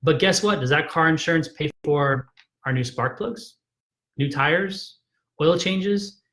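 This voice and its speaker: A man's voice: a little high, measured pace, fairly bright tone. A man, a chiropractor, giving an emphatic, persuasive health talk in a live video, frustrated and repeating himself with frequent "right?" tags.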